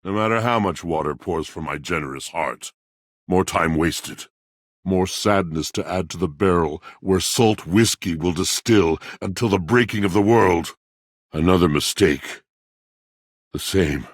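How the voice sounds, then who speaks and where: An actor playing a proud, melancholy, antiquated washing machine in a video game, speaking in a theatrical, formal, brooding way. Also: male voice, measured pace, no echo, studio quality. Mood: bitter lament. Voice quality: very deep, husky. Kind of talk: video game character voice acting.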